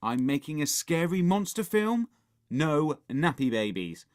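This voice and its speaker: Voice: medium pitch, big pitch swings, unhurried, voice pushed up. Narrator doing a horrid bossy little boy from a kids' storybook, sneery, swings all over, barks orders. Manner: bellowing, commanding, sneering. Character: bossy, scheming, horrid young boy director.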